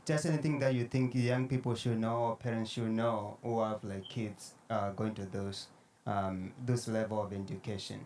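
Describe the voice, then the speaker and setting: A man from Kenya hosting a podcast late at night, tired and sleepy, talking in an unhurried way with many fillers. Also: on the low side, fairly even intonation, darkish tone, slight background noise. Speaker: podcast host. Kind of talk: casual podcast conversation.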